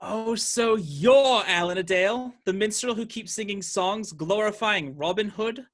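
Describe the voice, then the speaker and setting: An actor playing a pompous, menacing, domineering high sheriff in a radio play. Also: male voice, unhurried, a bit bright. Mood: haughty, menacing. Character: haughty, menacing High Sheriff of Nottinghamshire, male.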